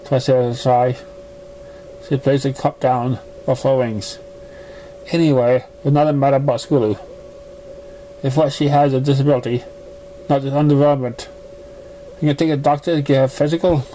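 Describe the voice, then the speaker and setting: A man reading a story aloud as an amateur narrator, stumbling and halting over the words. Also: medium pitch, fairly even intonation, mellow, rough recording. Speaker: amateur fan fiction reader. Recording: noticeable background noise, amateur recording.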